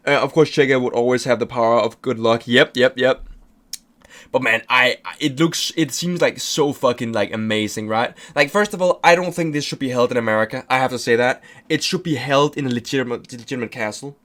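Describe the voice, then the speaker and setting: A man's voice: mid-range voice, brisk, fairly bright tone. A young man with a Danish accent, reacting casually to a video as a streamer, rambling, repeating himself and swearing often. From Denmark.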